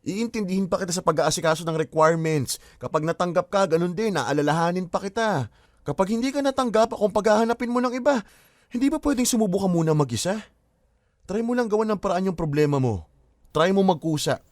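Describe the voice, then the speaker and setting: An exhausted student boyfriend in a radio drama, blunt and fed up. His voice sits unusually high for a man. He sounds strained and weary. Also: animated, quick pace, dry recording, clean studio sound. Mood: exasperated. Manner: blunt and exasperated, turning tearful.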